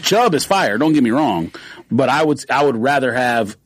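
A middle-aged man co-hosting a podcast in a casual chat, animated, joking and quick to protest. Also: medium pitch, a little hiss or hum. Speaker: podcast co-host.

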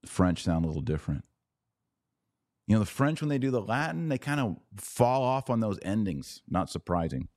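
A man's voice: fairly low pitch, very animated, moderate pace, a little mellow, no echo, clean studio sound. A man hosting a live podcast, reading viewer comments and giving emphatic, opinionated commentary with rhetorical questions. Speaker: podcast host.

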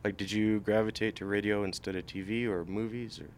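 A man with a fairly low voice plays the interviewer, asking casual questions and dropping in short encouraging replies. There is a faint rumble of noise behind him. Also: steady tone, a little fast.

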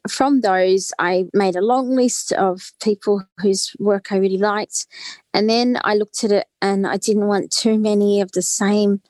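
A woman's voice: mid-range voice, darkish tone, no room sound. A woman, a guest author on a podcast, answering in an earnest, fluent way with casual fillers. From Australia.

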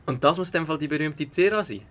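A man's voice: on the high side, fast, background noise, natural voice. A young man playing a sociable, laid-back rich teenage party host in an amateur radio drama, with breezy slang full of English words. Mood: welcoming, breezy. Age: young adult.

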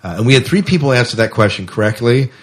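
A man's voice: medium pitch, expressive, fast talker, husky. A man co-hosting a podcast in casual, rambling chat, often repeating his phrases. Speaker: podcast co-host.

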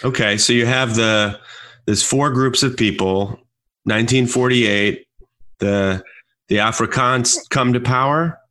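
A man with a medium-pitched voice hosts an interview. He asks short, prompting questions and briefly acknowledges the answers. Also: a little slow, sharp tone.

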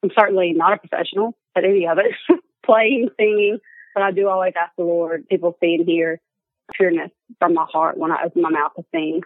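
A woman's voice: on the low side, animated, brisk, dry recording. A young woman with an Alabama accent, a guest chatting casually and laughing often. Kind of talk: casual chat. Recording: tinny phone line, dry.

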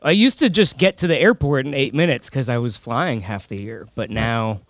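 A man's voice: medium pitch, normal speed, dry recording. Casual male comedian, close dry sound. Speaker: comedian guest on a radio show. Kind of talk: casual banter with stand-up jokes.

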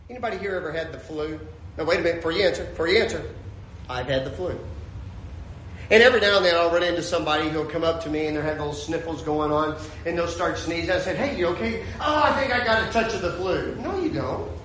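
A man preaching a sermon, his voice swinging from shouted peaks to a hushed storytelling tone. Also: fairly high pitch, fast, reverberant, a little hiss or hum, rough recording. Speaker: pastor.

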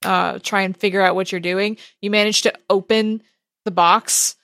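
A woman's voice: mid-range voice, fairly even intonation, normal speed, a bit bright. The woman at the head of a gaming table, narrating the scene to her players, heard close with no room echo. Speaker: game master. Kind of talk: narrating a tabletop role-playing game.

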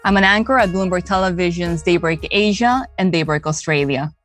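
A woman's voice: on the low side, a little fast, fairly bright tone, soft background music. A woman in her forties who grew up in Bolivia and speaks with a hard-to-place accent, chatting in a friendly way as a podcast co-host and news anchor. She laughs easily while she talks. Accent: hard-to-place mixed accent. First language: Spanish and Korean. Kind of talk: conversational podcast chat.

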